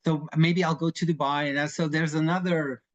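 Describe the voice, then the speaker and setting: A man's voice: fairly high pitch, fairly even intonation, normal speed, lo-fi sound. Hesitant Portuguese man on a webinar. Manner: hesitant, many fillers and restarts. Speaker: lawyer advising clients. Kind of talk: webinar discussion.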